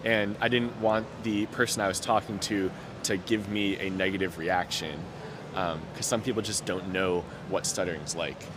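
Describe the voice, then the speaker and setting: A young man with a fairly low voice who stutters, repeating sounds and breaking off words as he talks openly and earnestly about his own experience. He is recorded outdoors, with clear city noise and passing traffic behind him. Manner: earnest, open, many fillers. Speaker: interviewee. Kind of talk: reflective personal story. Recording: noticeable outdoor background noise.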